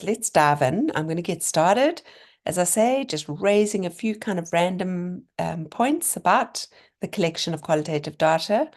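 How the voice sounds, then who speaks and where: Slightly hoarse middle-aged woman lecturing online. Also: fairly low pitch, a little mellow. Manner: chatty, encouraging, thinking aloud with fillers and restarts. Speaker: lecturer presenting a webinar. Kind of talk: online seminar talk. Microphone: video call.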